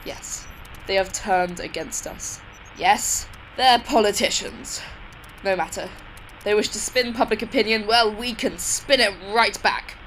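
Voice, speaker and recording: A woman's voice: medium pitch, measured pace, fairly bright tone, slight background noise, natural voice, poor audio. A woman playing a decisive, dryly wry military commander in an audio drama. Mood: resolute. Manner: clipped, decisive, dry.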